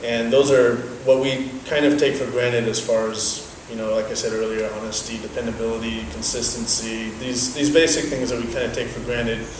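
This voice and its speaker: A man's voice: medium pitch, flat delivery, noisy background. Man with native Dutch, very echoey and distant. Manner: measured, explanatory, thoughtful pauses. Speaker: interviewee, business owner. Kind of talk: casual interview answers. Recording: very echoey, from a distance, faint ambience.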